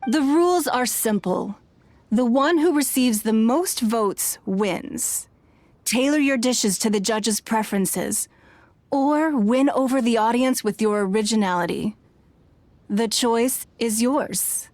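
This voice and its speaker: A woman voicing a composed, authoritative noblewoman official in a video game, speaking in a measured, formal way. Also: fairly high pitch, a little mellow, no room sound. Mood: gracious, formal. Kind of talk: formal speech, game acting.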